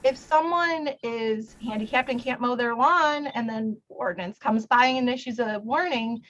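A woman's voice: a little high, moderate pace, fairly bright tone, slight background noise, low-quality recording. A woman giving a conversational answer as a candidate at a public forum. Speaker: city council candidate.

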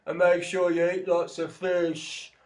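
A man's voice: little pitch movement, darkish tone. Brief: dim, heavyset male fisherman, drawling and dopey, mocked by a man. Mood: mocking. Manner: slow, drawling, high mocking voice.

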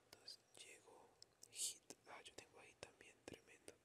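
A woman with a Colombian accent doing playful, slangy ASMR game commentary in a breathy whisper. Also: a little slow. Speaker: ASMR gamer.

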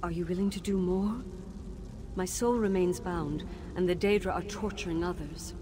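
An actress voicing the ghost of a tormented woman teacher in a video game, speaking in a measured, grave and sorrowful way. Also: on the low side, lightly acted. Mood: grateful. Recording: game audio with steady background noise.

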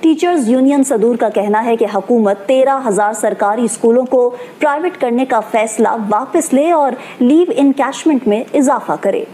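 A woman reads the news in a formal, measured way. Her tone is mellow, and her pitch sits a little high. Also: a little hiss or hum. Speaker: news anchor. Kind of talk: scripted news reading.

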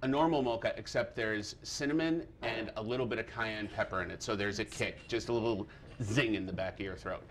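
A middle-aged man, a coffee shop owner, answering a live TV interview in a relaxed, friendly, slightly halting way. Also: fairly low pitch, a little hiss or hum.

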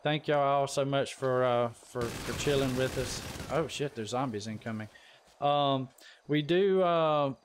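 A man hosts a live gaming stream in a casual, folksy way. His voice sits at an average pitch, and he talks loosely to viewers and friends with plenty of y'all. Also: measured pace, background noise. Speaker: livestream host. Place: voice chat during an online game stream.

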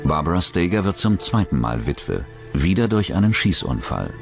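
A man's voice: low-pitched, sing-song, normal speed, creaky. A man reading scripted narration for a true-crime documentary as its narrator. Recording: dramatic music bed under the voice. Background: background music.

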